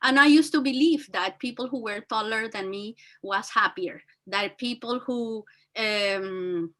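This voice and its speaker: A woman with a very high, bright and forceful voice talks earnestly, her full of fillers and restarts. She speaks as a teacher and guest, giving motivational advice. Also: a little slow. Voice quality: very high-pitched voice. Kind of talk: motivational talk.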